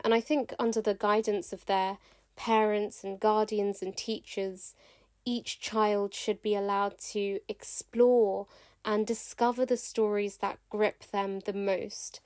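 A woman's voice: mid-range voice, darkish tone, no room sound. A British woman speaking as a podcast guest, reflecting in a thoughtful, articulate way. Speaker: author and actor, podcast guest. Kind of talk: reflective spoken commentary.

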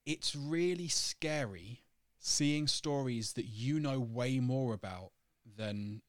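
A man's voice: medium pitch, slow pace, dry recording, studio quality. A young man co-hosting a podcast in casual chat, joking and laughing often as he talks things through. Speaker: podcast co-host.

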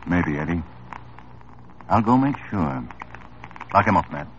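A dogged police detective, with a very deep voice and short, even lines as he questions people. The sound is dull and muffled, like an old radio broadcast. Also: measured pace. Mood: probing. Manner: terse, even, understated. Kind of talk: 1950s radio drama acting.

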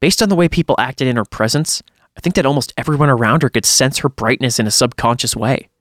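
A man's voice: medium pitch, fast talker, fairly bright tone, no room sound, clean studio sound. A man reading a first-person horror story aloud in a dramatic storytelling style, as a podcast host would.

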